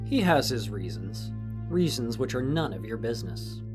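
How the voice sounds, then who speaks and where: A man's voice: medium pitch, big pitch swings, moderate pace, darkish tone, lightly acted. A man playing a stern, secretive enforcer in an audio drama, speaking with cold, condescending command. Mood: cold, menacing. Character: stern, secretive male enforcer of a mysterious patron. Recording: music under the voice.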